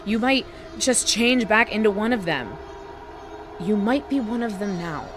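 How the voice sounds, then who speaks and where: A woman's voice: medium pitch, lively intonation, measured pace, close to an everyday voice. An actress playing a wary, anxious woman who survived an alien invasion, in an audio drama.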